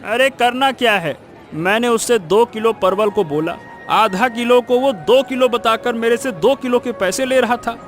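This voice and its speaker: A man's voice: very high-pitched, moderate pace, soft background music. A man playing a scheming, spiteful village cheat in a cartoon, argumentative, blustering and insistent. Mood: scheming, persuasive. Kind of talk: Hindi cartoon moral story acting.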